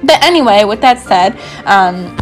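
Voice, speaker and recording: A woman's voice: mid-range voice, lively intonation, unhurried, rough recording. A young woman gaming creator doing a casual, bubbly, rambling voiceover with a slightly sore throat. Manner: bubbly, rambling, frequent "like" and asides. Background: background music.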